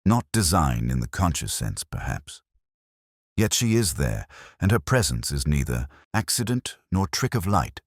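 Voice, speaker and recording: A man's voice: rumbling low, big pitch swings, unhurried, dry recording, clean studio sound, exaggerated voice. A man voicing a keen, imperious master detective in an audiobook, speaking formally and precisely, with a lecturing emphasis. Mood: musing, assured. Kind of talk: audiobook detective story dialogue.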